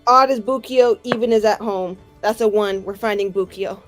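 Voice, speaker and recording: A woman's voice: mid-range voice, moderate pace, soft background music. A woman talking casually as a player in a tabletop roleplaying game.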